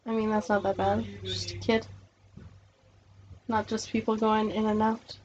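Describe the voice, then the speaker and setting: A woman's voice: mid-range voice, little pitch movement, a little slow, a little mellow, a little hiss or hum. Woman with offhand quips, muffled recording. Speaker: podcast guest. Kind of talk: casual chat.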